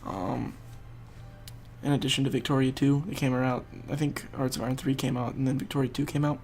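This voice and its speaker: Stuffy young guy mumbling through a strategy game, gravelly, soft music behind. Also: medium pitch, steady tone, brisk. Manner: rambling, thinking aloud while playing. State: congested, losing his voice. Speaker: gaming video host. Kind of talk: casual gameplay commentary.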